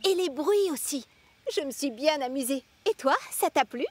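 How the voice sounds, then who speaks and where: A woman's voice: high voice, lively intonation, normal speed, a little mellow. A woman voicing a sweet, eager young female steam engine in a children's cartoon. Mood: cheerful. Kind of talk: French-dubbed children's cartoon acting.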